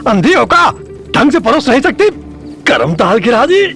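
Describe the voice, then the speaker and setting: Male narrator as irate middle-aged husband, high gravelly scolding, off-mic. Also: sing-song, normal speed, faint music behind, full character voice. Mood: angry scolding. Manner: angry scolding, shouting. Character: gruff middle-aged husband, short-tempered.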